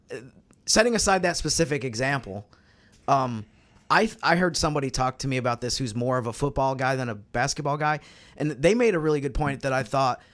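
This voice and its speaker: A man in a casual sports discussion, reasoning through his argument in a measured way. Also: mid-range voice, a bit bright. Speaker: sports podcast panelist.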